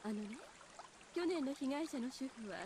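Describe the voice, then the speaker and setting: A woman's voice: sits high, lively intonation, fast, background noise. An actress voicing a composed, knowing and teasing adult woman in a mystery game.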